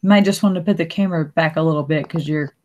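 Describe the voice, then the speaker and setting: A woman's voice: sits low, fast, mellow, dull audio. A woman from Alabama with a Southern accent, hosting a casual live stream chat and laughing often.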